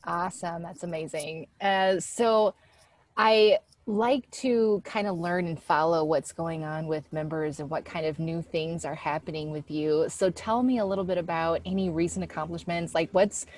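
A woman's voice: on the low side, moderate pace, a little mellow. A woman hosting an interview in a casual, conversational style. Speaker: interviewer, organization founder.

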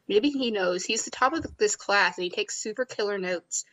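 A woman's voice: mid-range voice, moderate pace, fairly bright tone, rough recording. A woman reading a visual novel's inner monologue aloud in a wry, chatty way. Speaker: game narrator and player.

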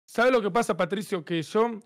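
A man's voice: high voice, moderate pace. A young man with an Argentine accent arguing insistently in an informal football debate. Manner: argumentative, insistent. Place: indoors, home streaming setup on a group video call.

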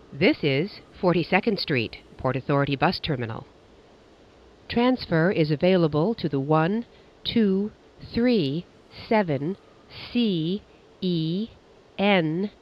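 A man's voice: on the high side, dull audio. A man reading scripted transit announcements as a train announcer, in formal, segmented phrasing.

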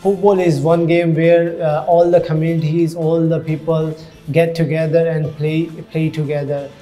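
A man's voice: a little high, little pitch movement, dark tone, faint music behind. A man in his thirties from Hong Kong, a youth program coordinator, talking earnestly in a documentary interview with many uh fillers. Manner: earnest, halting with frequent uh fillers. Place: indoor community centre room.

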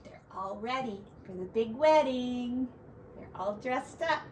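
Middle aged lady reading a kids book out loud, high voice, takes it real slow, bit of background noise. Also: lively intonation. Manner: slow, deliberate storytelling with drawn-out words. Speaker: teacher reading aloud to children. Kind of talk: expressive picture-book read-aloud with asides. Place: small furnished room at home.

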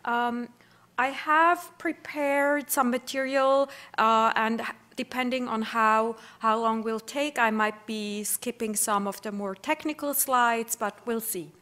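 A woman's voice: a little high, fairly even intonation, slow, fairly bright tone. A middle-aged woman from Switzerland, giving a keynote lecture at a podium, explaining in a friendly way with frequent restarts. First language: Swiss German. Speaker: keynote speaker. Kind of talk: conference lecture. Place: wood-panelled lecture hall, at a podium. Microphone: podium microphone.

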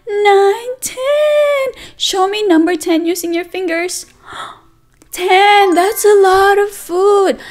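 A woman teacher reading a picture book aloud to toddlers, asking questions and counting along with them. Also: high voice. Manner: animated sing-song, asks children questions and counts along. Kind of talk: read-aloud circle time for toddlers. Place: indoors at a table, only hands and book in frame.

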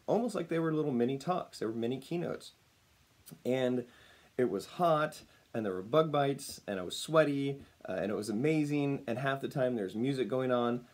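A man in his thirties, slightly raspy as he recovers from losing his voice, talking upbeat and smiling to a webcam at home. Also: medium pitch, moderate pace, darkish tone. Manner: upbeat, smiling, chuckles often. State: recovering from lost voice. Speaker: personal branding speaker. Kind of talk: casual talk to camera. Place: home room, indoors. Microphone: webcam at desk distance.

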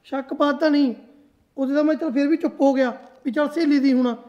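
A man's voice: very high-pitched, fairly even intonation, fast talker, warm tone, muffled recording. A man playing a suspicious young husband who accuses his wife. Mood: bitter. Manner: accusing, insistent.

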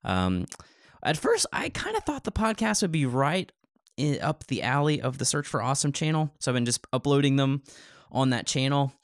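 A man's voice: medium pitch, big pitch swings, normal speed, dry recording, clean studio sound. A man co-hosting a podcast, chatting and commenting casually. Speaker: podcast co-host.

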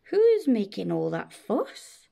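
A woman's voice: on the high side, moderate pace, warm tone. A woman voicing a fussy, dreamy male dragon in a storybook, with a singsong, exclaiming delivery. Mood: flustered, bothered.

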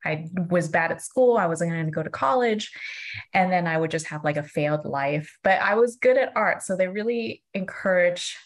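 A woman's voice: on the low side, lively intonation, normal speed. A woman of about forty from Hong Kong, giving a casual, self-deprecating artist talk over a video call. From Hong Kong, later Hawaii. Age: 30s or early 40s. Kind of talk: casual, personal slide presentation.

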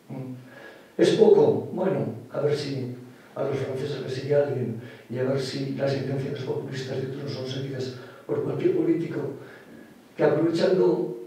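A man's voice: medium pitch, mellow. A middle-aged man from Spain giving a university lecture, explaining step by step with tag questions and restarts. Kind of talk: academic lecture. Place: lecture room, standing beside a projection screen. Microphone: far room camera. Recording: echoey room from far off.

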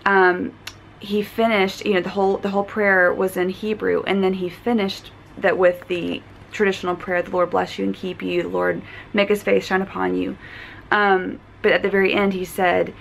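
Hoarse, gravelly young woman vlogging. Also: medium pitch, a little hiss or hum, poor audio. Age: young adult. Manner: earnest, reflective, many fillers and restarts. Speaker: travel vlogger. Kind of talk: casual vlog chat. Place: hotel room at night. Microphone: phone camera at arm's length.

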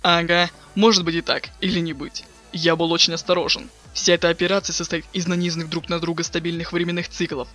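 A sardonic, slangy teenage boy voiced by a man from a webcomic dub. He rattles lines off quickly in a highish, sharp voice. He is impatient and too cool to care. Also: little pitch movement, faint music behind. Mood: matter-of-fact. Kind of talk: dramatic reading of a webcomic chat.

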